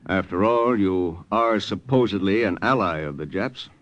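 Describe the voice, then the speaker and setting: An actor with an American accent playing a plain-spoken, uneasy army lieutenant who acts and narrates in an old radio drama. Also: male voice, low voice, moderate pace, a bit bright. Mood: curious, direct. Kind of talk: 1940s-50s radio drama acting and narration. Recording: muffled old radio recording with music.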